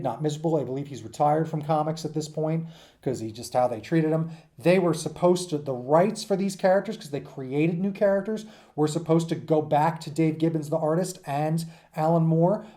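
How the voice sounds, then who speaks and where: A man's voice: fairly high pitch, warm tone. A man giving an informal, unscripted lecture as a teacher, talking conversationally and emphatically, often checking in with his listeners. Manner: conversational, checks in with "right?" and "okay?". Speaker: teacher presenting a comics history lesson.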